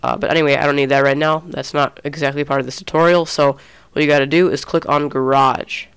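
Young man, sick, casual tutorial talk, very clear smooth voice, slightly high. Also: flat delivery, quick pace, fairly bright tone. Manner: casual, chatty, restarts often. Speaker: gaming tutorial creator. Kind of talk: screen-recorded tutorial.

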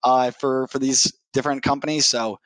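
A man's voice: mid-range voice, flat delivery, moderate pace, fairly bright tone, no echo, rough recording. A man in his thirties giving business answers as an interview guest, speaking into a headset on a video call. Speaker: technical sales executive, podcast guest. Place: home living room, indoors, on a video call. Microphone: headset mic.

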